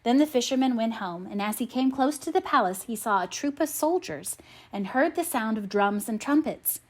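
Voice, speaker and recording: A woman narrating a storybook aloud in an expressive, measured manner. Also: a little high. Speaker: audiobook narrator.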